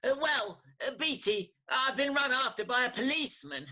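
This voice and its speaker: An actor with an English accent playing a bumbling, hungover young gentleman in a theatrical farce, hesitant and stammering. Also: sits high, expressive, moderate pace, full character voice. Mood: sheepish.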